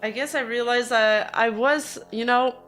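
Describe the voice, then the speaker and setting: A hoarse woman streamer chatting casually and rambling with her viewers, laughing easily. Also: a little high, moderate pace, a bit bright, faint music behind. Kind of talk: casual livestream chat with viewers.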